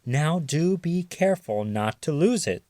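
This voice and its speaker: A man voicing a gentle, reassuring mother raccoon in a tender, soothing manner for a children's storybook. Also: fairly high pitch, lively intonation, a little slow, dry recording, pitched above the performer's own voice. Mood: gently teasing.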